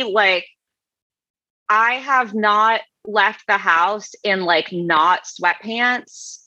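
A woman co-hosting a casual podcast, speaking haltingly and searching for her words. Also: mid-range voice, bright, forceful tone, no room sound. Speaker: podcast co-host. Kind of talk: casual chat. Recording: dry, slightly dull mic sound.

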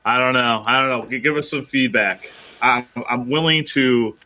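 A man's voice: medium pitch, normal speed, pushed and bright. A man co-hosting a history podcast in casual chat, joking around and rambling with self-mocking asides. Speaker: podcast co-host.

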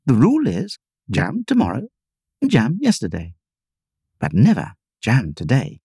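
Woman as a husky, lofty storybook queen. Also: extremely low pitch, wildly swooping pitch, measured pace, dark tone, no echo, studio quality, full character voice. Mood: lofty, firm.

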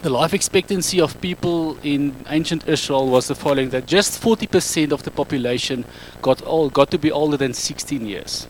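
A man in his thirties speaking English with an Afrikaans accent, giving a public talk on a handheld mic in a halting, self-correcting way. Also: on the high side, slight background noise, poor audio. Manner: halting, self-correcting non-native English with frequent ums. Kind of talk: public talk to a live audience.

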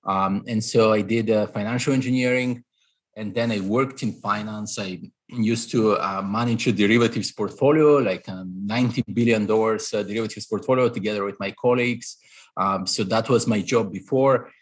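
A man's voice: fairly low pitch, steady tone, normal speed, slightly rough. A man in his thirties with a Bulgarian accent, an investor answering interview questions analytically, with many fillers and restarts. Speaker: guest, real estate investor.

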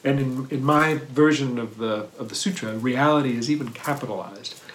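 A man giving a thoughtful dharma talk on mindfulness, pausing and restarting often and checking in with "right?". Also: medium pitch. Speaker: meditation teacher. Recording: roomy echo.

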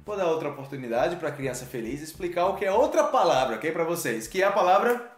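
A man hosting a casual language lesson, chatty and quick, checking in with "ok?" all the time. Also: on the high side, very animated, echoey room. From Brazil. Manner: quick, chatty, says "ok?" often. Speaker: language teacher host. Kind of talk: casual teaching chat.

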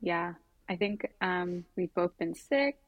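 Casual podcast co-host, woman with a lowish voice, chatty and rambling, dry basic home recording. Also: expressive, slow, dry recording, dull audio. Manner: bubbly, rambling, says "like" and "literally" a lot. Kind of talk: casual chat. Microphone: basic home setup on video call.

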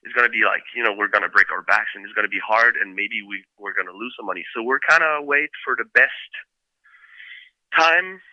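A man's voice: on the low side, brisk, pushed and bright, no echo, highs cut off. A man from Quebec with a French Canadian accent, a band member talking loosely in a casual interview, full of fillers and restarts.

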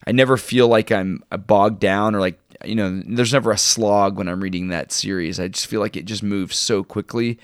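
A man with a low, smooth voice talks casually as a podcast co-host. His delivery is informal and halting, with real enthusiasm for what he likes. The recording is close and dry, so every word sits cleanly. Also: fairly even intonation, dry recording. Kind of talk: casual chat, recommending shows and books.

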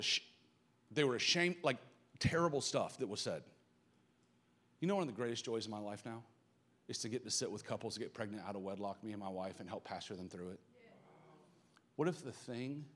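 A pastor with a Southern American accent preaches a live Sunday sermon. His voice sits at an ordinary middle pitch for a man. He talks earnestly and pushes into loud, fervent emphasis as his points land. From Southern United States.

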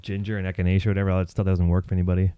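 A man's voice: deep voice, little pitch movement, racing, clean tone, no echo, highs cut off. A man chatting casually, rambling and joking, with lots of fillers. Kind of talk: casual chat.

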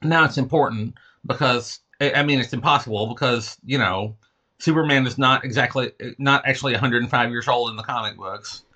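A man's voice: mid-range voice, fairly quick, sharp tone, dull audio. A man with a lisp speaking as a writer being interviewed, giving erudite, discursive explanations and often interrupting himself. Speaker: interview guest, fiction writer and teacher.

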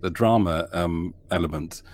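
A man's voice: low-pitched, a little slow. A man who produces audio dramas, talking through his process in a casual podcast interview, rambling with frequent ums and restarts.

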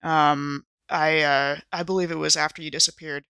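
Tabletop player, female, bright and crisp-edged, mid-low pitch, formal but hesitant improvised roleplay. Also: little pitch movement, moderate pace, dry recording.

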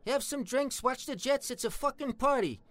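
A man's voice: very high-pitched, lively intonation, normal speed, a bit bright, no room sound. An actor playing a foul-mouthed, bigoted Italian-American tough guy with a New York accent, brash and streetwise in a comic dialogue. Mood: blustering. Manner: brash, profane, streetwise slangy talk.

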